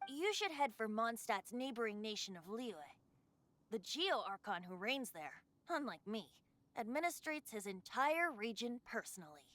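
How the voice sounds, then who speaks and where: A woman's voice: a little high, very animated, moderate pace, a bit bright. A woman voicing a carefree ancient wind god in the form of a youthful male bard, speaking in an airy, wistful storyteller manner for a video game. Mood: wistful, explanatory. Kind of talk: scripted video game voice acting.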